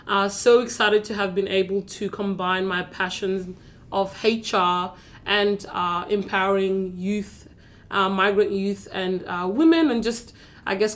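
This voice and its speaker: A woman from South Sudan speaks as a podcast guest in an earnest, thoughtful way. Her pitch sits in a middle register for a woman. She comes across as proud of what she does. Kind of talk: conversational interview.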